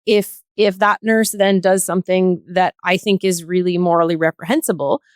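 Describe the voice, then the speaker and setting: A woman's voice: fairly low pitch, fairly even intonation, normal speed, no room sound, clean studio sound. A woman in a reflective podcast conversation, explaining her views in a thoughtful, earnest way. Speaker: podcast guest.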